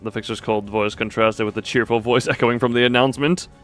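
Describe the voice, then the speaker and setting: A man's voice: mid-range voice, fairly even intonation, brisk, a bit bright, soft background music. A man live-streaming a game, reading its dialogue aloud and stumbling now and then as he adds his own commentary. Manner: stumbles and self-corrects while reading aloud.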